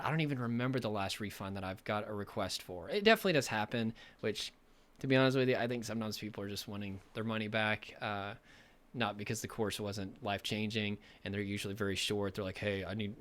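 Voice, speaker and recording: Hoarse young man, earnest coach. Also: lowish voice, brisk, a bit bright. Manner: earnest, rambling, many fillers and restarts. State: losing his voice, a bit sick. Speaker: recovery coach. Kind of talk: casual direct-to-camera talk.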